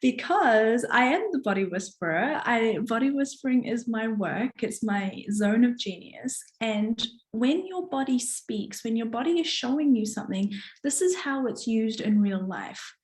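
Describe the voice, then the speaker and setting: A woman's voice: on the high side, lively intonation, a little slow, few pauses. A woman coach chatting casually and encouragingly to followers on a live stream, her voice strained from having partly lost it. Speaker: coach hosting a live online challenge. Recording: roomy, echoing live stream.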